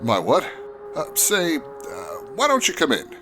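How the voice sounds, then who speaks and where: A man's voice: fairly high pitch, huge pitch swings, unhurried, over music. A man playing a kindly, upright Mountie sergeant, earnest and courteous in an old-fashioned way, in radio adventure style. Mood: friendly, puzzled.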